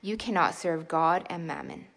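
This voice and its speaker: A woman reading a Bible passage aloud in a formal, measured, steady way. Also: low voice, creaky, a little hiss or hum. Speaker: scripture reader.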